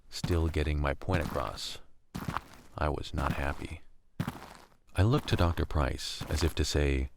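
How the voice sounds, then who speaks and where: A man's voice: extremely low pitch, gravelly, background noise, heavily acted. A man acting as a weary, wry young survivor, narrating a radio drama in the first person. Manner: wry, brooding, world-weary. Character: weary, gritty young male survivor narrating a post-collapse story.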